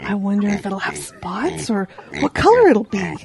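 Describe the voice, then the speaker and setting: A woman's voice: medium pitch, sing-song, moderate pace, darkish tone. A woman voicing an eager, chatty, curious female farm pig in an audio drama. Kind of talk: children's-style audio drama acting.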